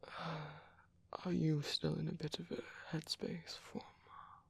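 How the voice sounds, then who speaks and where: A man playing a tender, caring vampire priest in an ASMR roleplay, speaking softly and hesitantly in a soothing, coaxing way. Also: on the high side, level tone, a little slow, no echo, exaggerated voice. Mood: tender concern. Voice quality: soft, breathy, hushed. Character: caring, tender vampire priest comforting his sleepy partner.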